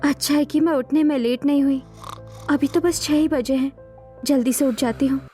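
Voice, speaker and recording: Woman acting a timid, high-voiced young daughter-in-law. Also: steady tone, moderate pace, warm tone, soft background music, lightly acted. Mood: anxious. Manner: nervous, stammering. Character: frightened, anxious young daughter-in-law, timid and eager to please.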